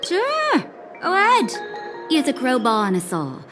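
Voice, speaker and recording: A sarcastic, teasing young craftswoman from a game cutscene. She has a slightly high, very animated voice and drawls slowly over background music. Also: exaggerated voice. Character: sardonic, cautious young female materials worker. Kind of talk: video game cutscene acting.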